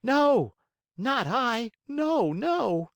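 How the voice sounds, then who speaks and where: A man's voice: high voice, moderate pace, a little mellow, no echo, clean studio sound, pitched above the performer's own voice, natural voice. A man voicing a naughty wooden marionette boy in a storybook, thin and childlike, whining and pleading. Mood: panicked.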